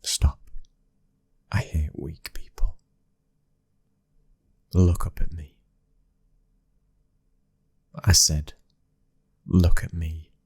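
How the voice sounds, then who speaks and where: Casting: a man performing a cold young British mafia prince for ASMR drama, domineering and terse, flat delivery, close dry sound. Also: a bass voice, drawn-out words, pauses often, breathy edge, no echo, full character voice. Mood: menacing, commanding. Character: cold, commanding twenty-one-year-old mafia boss's son. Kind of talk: ASMR roleplay acting.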